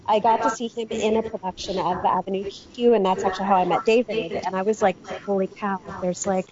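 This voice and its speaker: A woman with a husky, hoarse voice from being sick, telling a story as a podcast guest in a chatty, self-mocking way. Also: mid-range voice, steady tone, brisk. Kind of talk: casual storytelling. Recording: dull lo-fi with crowd noise.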